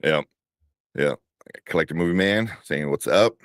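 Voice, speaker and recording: A man with a very deep voice co-hosting a casual livestream show, laid-back and chuckling, rambling with fillers. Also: normal speed, dry recording, studio quality. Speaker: co-host.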